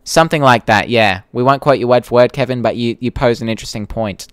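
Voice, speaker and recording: A man's voice: mid-range voice, fast, fairly bright tone, clear, resonant tone, dry recording, studio quality. A young Australian man hosting a casual live Q&A, chatting to viewers and reading their comments in a blunt, informal, sweary way. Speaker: YouTube creator hosting a live Q&A.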